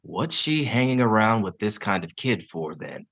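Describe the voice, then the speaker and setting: An actor playing a shrewd, reluctant defense lawyer in 1950s radio style. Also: male voice, lowish voice, sing-song, normal speed, a bit bright, muffled recording. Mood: brisk, reluctant.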